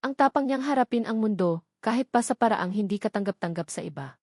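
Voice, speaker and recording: A woman voicing a charming, confident male boss who speaks in a measured, earnest and intimate way. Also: a little high, lively intonation, darkish tone, no room sound, studio quality.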